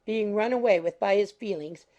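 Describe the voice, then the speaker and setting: A woman's voice: medium pitch, normal speed, mellow, dull audio. A woman reading scripted literary narration as an audiobook narrator. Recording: faint music behind the voice.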